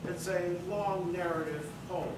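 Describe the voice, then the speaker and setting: A man presenting a staged book reading, speaking in halting, deliberate short phrases. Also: sits high, fairly even intonation, distant mic. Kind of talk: slow spoken introduction to a staged reading. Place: indoor theater, staged reading. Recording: echoey, noisy theater recording from off.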